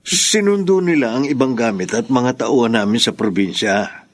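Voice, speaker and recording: Man acting polite husband, mid-pitched, dry sound. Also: normal speed, no echo, close to an everyday voice. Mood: obliging. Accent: Filipino. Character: polite, gentle husband and furniture maker. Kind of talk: Filipino radio drama acting.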